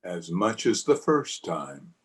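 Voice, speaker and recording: A man's voice: low-pitched, sing-song, slow, full character voice. A man playing a doting, reassuring husband in an old-time radio play. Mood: romantic. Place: home, over a video call.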